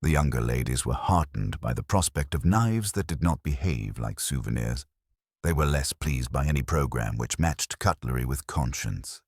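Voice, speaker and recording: A man reading a scripted mystery story in a dry, arch, measured manner. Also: very deep voice, expressive, a little mellow, breathy edge, no room sound. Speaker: narrator.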